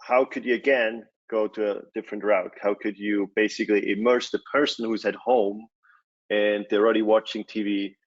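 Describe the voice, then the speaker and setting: Swiss guy in his 40s giving a conference talk, low flat voice, lots of uhs. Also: moderate pace, muffled recording. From Switzerland. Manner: relaxed, many fillers and restarts. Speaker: conference speaker, company CEO. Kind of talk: presentation talk.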